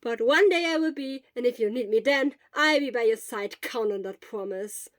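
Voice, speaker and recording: A woman voicing a loud, cheerful, loyal teenage boy who talks with earnest exuberance in an audio story. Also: on the high side, normal speed, dry recording, clean studio sound, lightly acted. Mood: earnest, boastful.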